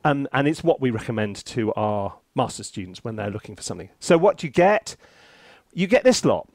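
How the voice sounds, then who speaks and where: A British man chats through a tutorial with a very lively, swooping delivery. He is jokey and theatrical, breaking off into asides and cutting across himself. His voice sits at a middling pitch in a dry, close recording. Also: fairly quick, dry recording. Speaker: composer reviewing music software, presenter. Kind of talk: casual, chatty tutorial to camera.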